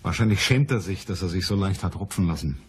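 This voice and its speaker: Very husky private detective. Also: male voice, low-pitched, quick pace. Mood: shrewd, businesslike. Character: shrewd, businesslike male private detective. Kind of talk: radio crime play dialogue.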